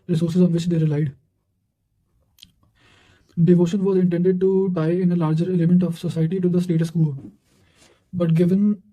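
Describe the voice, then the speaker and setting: High-pitched man reading aloud, very mellow. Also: low-quality recording. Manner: recites formally, stumbling over words and re-reading them. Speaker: narrator reading quotations for exam revision. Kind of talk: read-aloud study narration.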